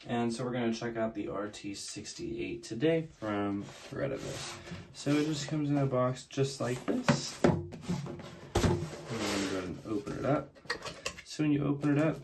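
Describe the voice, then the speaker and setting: A man's voice: medium pitch, a little mellow, slight background noise. A man hosting a casual product unboxing, explaining things plainly, step by step. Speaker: unboxing video host.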